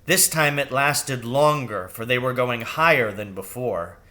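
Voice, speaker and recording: A man reading a children's novel aloud as expressive narration, with measured and deliberate phrasing. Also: medium pitch. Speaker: storybook reader.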